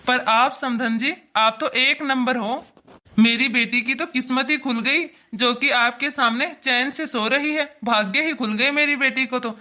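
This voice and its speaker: A woman acting as a gushing in-law from a comedy cartoon, high-pitched and bright. The delivery is effusive and exaggerated, piling on sly flattery with a forceful edge. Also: a little slow. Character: gushing parent of the bride, slyly over-praising the in-law.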